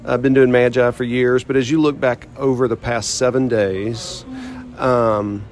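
A man's voice: medium pitch, little pitch movement, measured pace, soft background music. A man hosting an interview podcast, asking friendly, conversational questions. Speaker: podcast host.

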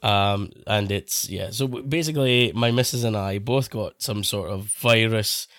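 Sick guy cohosting a podcast, voice going hoarse, jokey, dry close mic. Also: fairly low pitch, normal speed, a bit bright, no room sound. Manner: joking, laughs often. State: sick, losing his voice. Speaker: podcast co-host. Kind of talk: casual chat.

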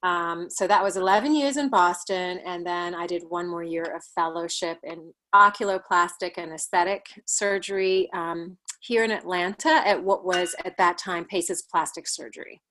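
A woman in her forties from Ghana, a plastic surgeon, telling her life story in an interview with many pauses and soft laughs. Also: fairly low pitch. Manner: thoughtful, with frequent ums and soft laughs.

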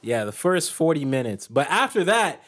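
A man's voice: a little high, big pitch swings, moderate pace, a bit bright. A man from the United States, joking and rambling in a casual movie chat with friends. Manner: joking, rambling, laughs often. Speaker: podcast co-host.

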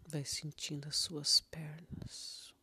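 A meditation guide with a Brazilian accent gives gentle, soothing instructions in a breathy near-whisper, leaving long pauses between prompts. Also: medium pitch, little pitch movement.

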